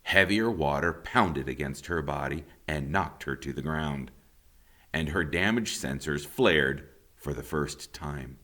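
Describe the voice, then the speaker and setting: A man narrating a children's story aloud like a teacher, with expressive, deliberate dramatic emphasis. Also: extremely low pitch.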